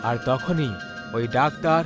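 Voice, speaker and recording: Higher-pitched man narrating horror. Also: measured pace. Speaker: storyteller. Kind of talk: scripted horror story narration. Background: music.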